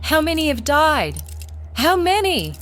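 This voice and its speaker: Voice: sits high, huge pitch swings, measured pace, fairly bright tone, soft background music, voice pushed up, full character voice. A performer voicing an anxious young woman with an urgent, questioning manner in an audio drama. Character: anxious young woman pressing for answers in a plague.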